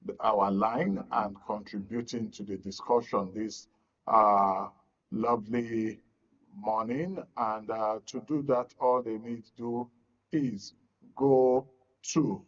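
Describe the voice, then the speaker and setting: A Nigerian man hosting a live broadcast discussion, with a halting, deliberate delivery. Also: on the low side, steady tone. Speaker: host of a live discussion programme. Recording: dull, lo-fi broadcast sound.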